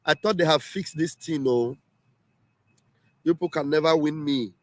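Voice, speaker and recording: A man talking on his own livestream, slightly high for a man and very insistent, repeating each point. The sound is dry and close, with no room around him. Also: normal speed, no room sound. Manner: emphatic, repeats himself often. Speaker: livestream host. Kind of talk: casual livestream commentary. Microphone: home livestream setup.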